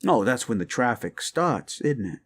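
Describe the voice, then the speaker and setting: A man playing a rumpled, folksy police detective in a roleplay audio drama, musing and halting as he politely needles a suspect with feigned casualness. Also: mid-range voice, big pitch swings. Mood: casually probing. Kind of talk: roleplay audio drama, interrogation monologue addressed to listener.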